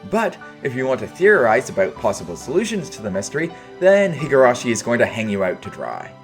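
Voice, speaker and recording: A man's voice: mid-range voice, huge pitch swings, a little fast, faint music behind. A man delivering a scripted video review in casual, opinionated phrasing with dry understatement.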